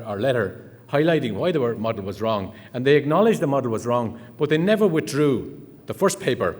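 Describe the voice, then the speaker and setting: An Irish man giving a chatty conference talk, full of fillers, restarts and anecdotes. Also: medium pitch. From Ireland. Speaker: conference speaker. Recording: long hall reverb.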